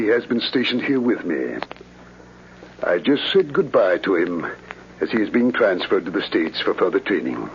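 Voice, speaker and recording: An actor playing a young convalescing soldier dictating a heartfelt letter in an old-time radio drama. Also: male voice, on the low side. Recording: muffled, hissy old radio recording.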